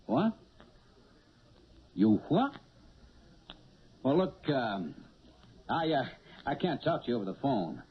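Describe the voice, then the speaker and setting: A man's voice: medium pitch, sing-song, slow pace, long pauses, slightly rough, heavily acted. An actor playing a brusque, slippery male narcotics cop in a 1970s radio drama. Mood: impatient, brusque. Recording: dull old radio broadcast.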